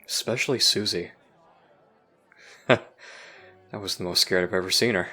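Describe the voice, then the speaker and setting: Man acting a low, jaded, sardonic youth. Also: a little slow, sharp tone, full character voice. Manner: sardonic, conspiratorial, casually profane. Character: jaded human youth trapped in a time loop, sardonic, possessed. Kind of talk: audio roleplay acting.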